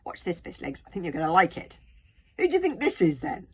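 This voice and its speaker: A woman's voice: fairly low pitch, huge pitch swings, fairly quick, slightly rough, heavily acted. A woman voicing a bold, cocky young warrior girl in a storybook, with a dramatic, commanding manner. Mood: crafty, confident.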